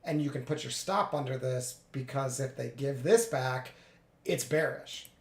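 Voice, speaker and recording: A man getting over a cold, a little congested, narrating his live trades in a relaxed way with many fillers. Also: mid-range voice, measured pace, echoey room. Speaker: futures day trader streaming live. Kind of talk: live trading commentary.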